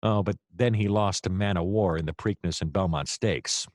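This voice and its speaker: Man acting a low-voiced, hapless comic noir detective. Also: moderate pace, dry recording, clean studio sound, lightly acted. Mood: eager, defensive. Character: hapless, excitable noir private detective, a man named Dirk. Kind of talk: comedic noir radio play acting.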